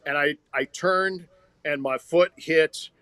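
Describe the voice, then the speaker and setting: A man's voice: a little high, takes it slowly, fairly bright tone, clean tone, no echo. An American man, a radio hockey announcer, talking and telling stories conversationally as a podcast guest. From Minnesota, United States.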